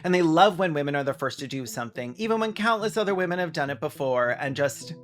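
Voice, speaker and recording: A man hosting a video essay, delivering scripted commentary in a sarcastic, deadpan manner. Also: on the high side, fast talker, soft background music.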